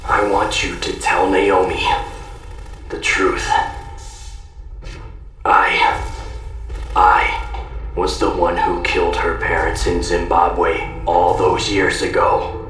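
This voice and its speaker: An actor playing a haunted, remorseful cyborg ninja warrior, solemn and confessional, in dramatic animated game-story style. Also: male voice, low-pitched, slow, long pauses. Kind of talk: dramatic voice acting. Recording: echoey distant voice over loud music.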